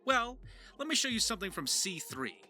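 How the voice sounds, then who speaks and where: Bright, animated man narrating a video. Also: high voice, normal speed, faint music behind. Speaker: voice actor and video creator. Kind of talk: upbeat scripted video narration.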